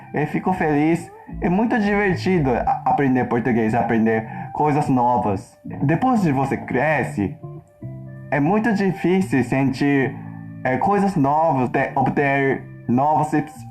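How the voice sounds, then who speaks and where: A Japanese man speaking in halting learner's speech, searching for words as he gives a personal account, with faint music behind. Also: on the high side, darkish tone. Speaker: interviewee in a played video.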